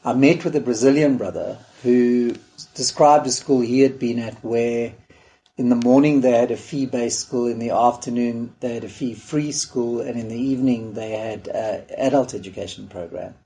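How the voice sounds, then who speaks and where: A man, a school project founder, speaking in a measured, earnest documentary interview. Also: mid-range voice, fairly even intonation, warm tone, a little hiss or hum, poor audio.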